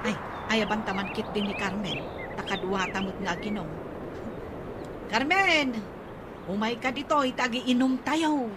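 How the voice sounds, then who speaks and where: A woman's voice: medium pitch, unhurried, long pauses, heavy background noise, natural voice. A woman with an Ilocano accent playing a bossy, talkative woman in a radio comedy drama. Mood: chatty.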